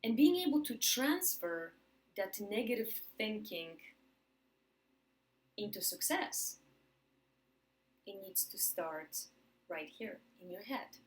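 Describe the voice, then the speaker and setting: A woman from Poland with a Polish accent, giving a motivational talk to camera. She speaks emphatically, repeats words for stress and slows down on key phrases. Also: mid-range voice, lively intonation, rough recording.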